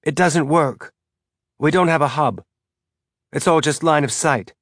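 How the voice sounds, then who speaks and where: Timid teen boy, nervous, a touch higher, read by a man. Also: measured pace, a bit bright, no room sound, studio quality. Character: shy, nervous teenage boy.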